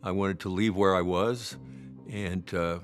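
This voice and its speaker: Low-voiced mature man over guitar music. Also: steady tone, unhurried. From American Midwest. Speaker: interviewee. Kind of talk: reminiscing documentary interview.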